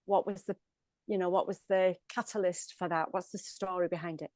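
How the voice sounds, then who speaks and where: A woman's voice: fairly low pitch, fairly even intonation, fairly quick, a little mellow, no echo. A woman hosting an interview, affirming her guest and rephrasing before asking her next question. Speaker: interviewer, host. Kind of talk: conversational interview.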